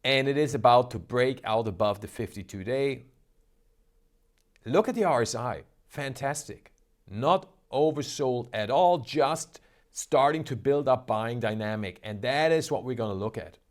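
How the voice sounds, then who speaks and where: A man's voice: medium pitch, expressive, unhurried. Upbeat man hosting a trading show. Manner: upbeat, salesy, emphatic. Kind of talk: energetic market commentary.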